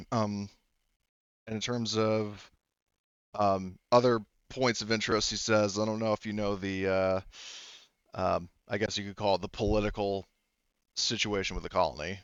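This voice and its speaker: A man narrating a tabletop roleplaying game as game master, casually improvising and haltingly feeling his way through the details. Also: fairly low pitch, sharp tone, no echo, highs cut off.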